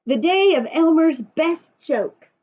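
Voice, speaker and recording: A woman's voice: sits high, lively intonation, slow pace, mellow, dull audio. A woman voicing friendly, admiring elephants from a herd in a children's storybook, with plain, cheerful delivery.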